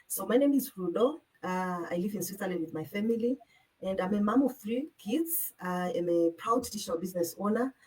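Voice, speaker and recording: A woman's voice: mid-range voice, expressive, brisk, warm tone, rough recording. A woman introducing herself as a guest and business owner on a discussion panel.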